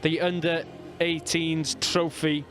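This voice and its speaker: A man from North Wales with a North Welsh accent, calling a rugby match as live commentator in a measured, steady way. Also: a little high. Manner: measured, reads out team lines steadily.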